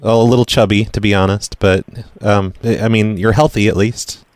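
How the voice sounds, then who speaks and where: Deadpan guy prank calling people, stammery and rambling, really dry close sound. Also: lowish voice, normal speed, dry recording. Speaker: prank caller, show host. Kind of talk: deadpan prank phone call, casual chat.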